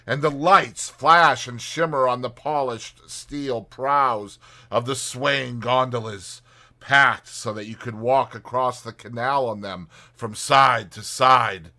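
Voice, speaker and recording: A man's voice: medium pitch, vocal fry, bright, forceful tone, exaggerated voice. A man voicing a worldly, boastful seafaring rat who savors old voyages with grand storytelling flourishes, in a dramatized audiobook. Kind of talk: dramatized audiobook character reading.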